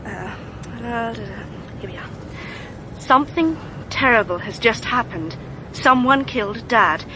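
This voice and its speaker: A woman's voice: on the high side, animated, measured pace, background noise. An actress playing an earnest, indignant young woman with a British accent and an emphatic, clipped delivery in a radio drama. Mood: subdued, reading. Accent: British English.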